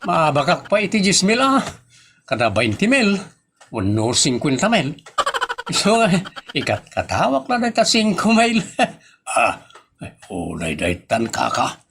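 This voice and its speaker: A man acting an excitable, boastful gambling husband in a comedy audio drama, bantering defensively with exaggerated delivery. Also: fairly high pitch, unhurried, background noise, full character voice. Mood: bragging.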